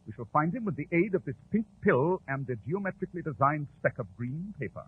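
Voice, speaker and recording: Brisk, theatrical British detective played by a man. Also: on the high side, animated, heavy background noise, natural voice. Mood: urgent, confident. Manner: clipped, precise, theatrical, self-assured. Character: brisk, confident, brilliant male London detective. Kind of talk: 1940s radio drama acting. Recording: muffled, tinny old 1940s radio recording.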